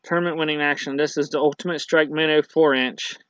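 A man's voice: on the high side, steady tone, fairly quick, fairly bright tone, smooth, no echo. A man, talking casually and rambling to camera outdoors at dusk as a fishing channel host, with frequent ums and restarts. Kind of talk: casual product review to camera. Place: outdoors in a grassy yard at dusk. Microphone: built-in camera mic at arm's length. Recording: dull lo-fi camera audio with faint noise.

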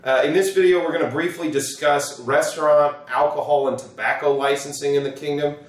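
A middle-aged American man, an attorney explaining to camera in a measured, wordy way in an office. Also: a little high, fairly even intonation. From United States. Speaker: attorney, presenter. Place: small wood-panelled office, indoors. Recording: roomy, echoing sound from a distance.

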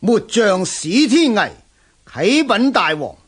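A man's voice: high voice, sing-song, slow, husky, full character voice. An actor playing a respectful, earnest Chinese general advising his lord in a radio drama, with a Hong Kong Cantonese accent. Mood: earnest, persuasive. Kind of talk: historical radio drama acting.

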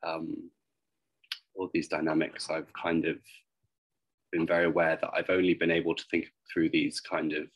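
A young man from Wales speaking haltingly and thoughtfully on a video-call panel. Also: low-pitched, flat delivery, low-quality recording. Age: young adult. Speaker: artist on a discussion panel. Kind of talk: reflective panel talk. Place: small room at home, video call.

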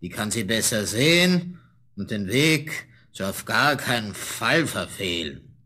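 An actor playing a grumpy, gruff woodcutter father in a 1970s fairy-tale audio play. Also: on the low side, very animated, unhurried, sharp tone, heavily acted. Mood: planning. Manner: gruff, grumbling.

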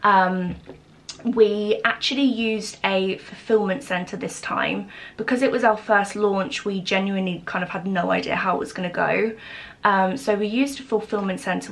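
A woman's voice: medium pitch, fairly bright tone. A British woman in her twenties chatting casually and informally to camera as a lifestyle vlogger, in a room at home. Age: 20s. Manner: informal, chatty, frequent "like" and "literally". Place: small home office room indoors.

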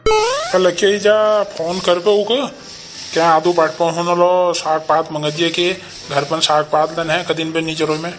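A man's voice: on the high side, lively intonation, moderate pace, fairly bright tone, faint music behind. A man voicing a grumbling, worried village father with a Kumaoni accent in an amateur cartoon comedy. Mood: casual.

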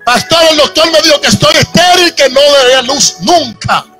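A man's voice: very high voice, animated, normal speed. A man in his thirties with a Dominican accent, preaching a sermon to a congregation on a handheld mic. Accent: Dominican Spanish. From Dominican Republic. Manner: preaching with rising emphasis and audience asides. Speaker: pastor. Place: church sanctuary with congregation. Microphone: handheld microphone. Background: soft instrumental music.

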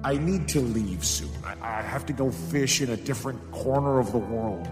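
A man's voice: mid-range voice, moderate pace, natural voice. A man playing an earnest fisherman in echoing reverb. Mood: earnest, pleading. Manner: stammering, flustered. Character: earnest, smitten, stammering young mortal fisherman. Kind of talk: children's audio drama dialogue. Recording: echoey hall reverb under loud music.

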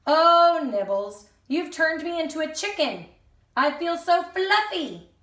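A woman's voice: very high-pitched, big pitch swings, slow pace, fairly bright tone, exaggerated voice. A woman voicing a flustered big bad wolf from a children's storybook. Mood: dismayed. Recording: echoey room sound.

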